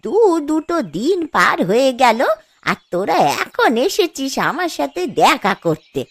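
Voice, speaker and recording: A woman's voice: high-pitched, big pitch swings, unhurried, no echo, exaggerated voice. A woman voicing an aggrieved, self-pitying old village grandmother in a cartoon story, complaining and reproachful.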